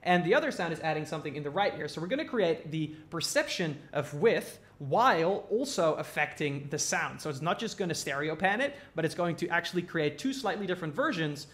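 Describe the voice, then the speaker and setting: A man's voice: fairly high pitch, lively intonation, fairly quick, few pauses, fairly bright tone. A man giving a casual tutorial as a music production instructor, informally thinking aloud as he demonstrates.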